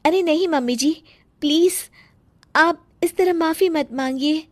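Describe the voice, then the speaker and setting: A woman's voice: high voice, unhurried, dry recording, clean studio sound. A woman voicing a sweet, pleading young daughter-in-law in a cartoon family story. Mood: gentle, respectful. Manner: pleading, respectful, emotional. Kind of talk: Hindi moral-story cartoon acting.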